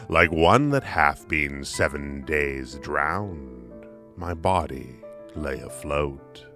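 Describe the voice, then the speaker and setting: A man's voice: rumbling low, bright, forceful tone. A man reading poetry dramatically for an audiobook, theatrical, with big swells and drops in volume. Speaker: poetry reader. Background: music.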